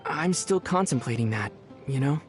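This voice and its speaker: Young game hero played by a man: youthful, medium pitch, measured and thoughtful with gentle wryness. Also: lively intonation, lightly acted. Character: young male adventurer hero, calm, thoughtful, gently wry. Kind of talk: video game voice acting. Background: music.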